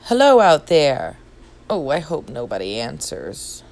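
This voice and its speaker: Gentle storybook bear, male, played by a woman, lowish voice, naive, modest, hesitant. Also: huge pitch swings, measured pace, full character voice. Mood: nervous. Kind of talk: storybook read-aloud.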